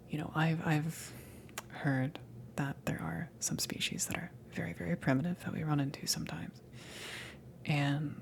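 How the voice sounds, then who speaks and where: A woman playing a casual, kind alien salvage crewmember in a hesitant, reassuring ASMR roleplay, whispery and breathy. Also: deep voice, slight background noise. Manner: hesitant, rambling, reassuring, soft chuckles. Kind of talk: ASMR roleplay, improvised-sounding soft talk.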